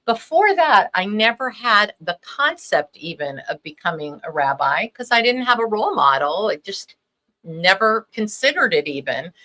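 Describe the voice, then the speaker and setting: A woman rabbi from Los Angeles, a native Hebrew speaker, telling her story in a relaxed, thoughtful way with frequent pauses. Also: mid-range voice, rough recording. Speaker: rabbi, panel guest. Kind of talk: conversational storytelling.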